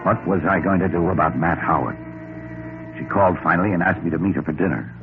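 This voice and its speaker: An actor playing an anxious, guilt-ridden man in a 1940s radio mystery, speaking in a tense, halting, dramatic way. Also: low-pitched. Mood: brooding. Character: anxious guilt-ridden man under blackmail, narrating his own story. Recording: tinny old radio recording, loud music.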